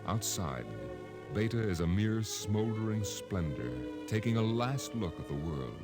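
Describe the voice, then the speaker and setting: Music plays clearly behind a low-voiced male narrator. He is solemn and deliberate, telling of impending doom in the ominous manner of 1950s radio drama. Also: animated.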